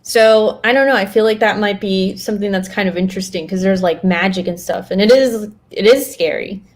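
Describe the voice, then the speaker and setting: Woman cohost, normal pitch, casual chat, kinda hesitant, thinking out loud as she goes. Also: brisk. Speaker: podcast co-host. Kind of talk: casual chat about fictional universes.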